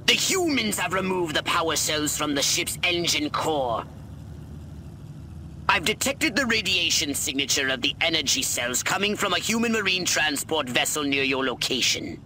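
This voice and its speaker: High-pitched, imperious alien commander. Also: male voice, animated, normal speed, sharp tone, a little hiss or hum. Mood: intrigued, clipped. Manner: haughty, precise, formal. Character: scheming, imperious alien commander briefing his soldier clone. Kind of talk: video game cutscene acting.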